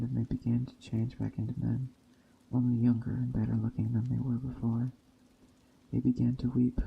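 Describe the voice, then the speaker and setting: A man softly reading a story in a hushed, gentle ASMR storytelling style. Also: mid-range voice, vocal fry, dull audio, slight background noise. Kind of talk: soft-spoken ASMR reading of a Greek myth tale. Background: soft nature ambience.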